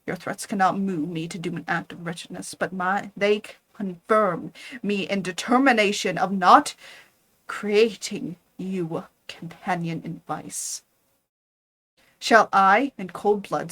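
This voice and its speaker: A woman reading the lines of a defiant young male scientist, loud and declaiming. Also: mid-range voice, poor audio.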